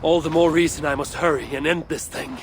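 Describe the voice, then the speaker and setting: An actor playing a blunt, determined Norse warrior with terse, resolute delivery in a dramatic video game. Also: male voice, fairly high pitch, fairly even intonation, brisk, slight background noise, close to an everyday voice. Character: determined, blunt Norse raider warrior seeking to end bloodshed.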